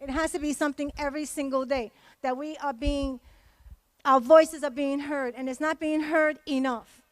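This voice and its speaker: A woman's voice: high-pitched, fairly even intonation, normal speed, no echo. A woman giving an impassioned, emphatic speech at a community event, repeating phrases for stress. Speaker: community member giving testimony.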